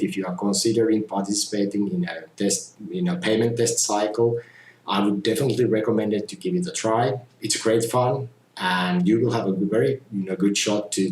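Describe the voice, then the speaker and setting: Low-voiced man, echoey room. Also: slight background noise. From Finland. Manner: measured, earnest, frequent "you know" fillers. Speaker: community tester. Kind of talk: casual testimonial talk. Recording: roomy echo with faint ambience.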